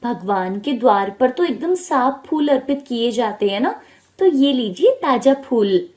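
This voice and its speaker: A woman voicing a greedy, scornful, scheming young village woman in a storybook tale, with a shrill, nagging, dramatic delivery. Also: sing-song, unhurried, a little mellow. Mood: falsely sweet.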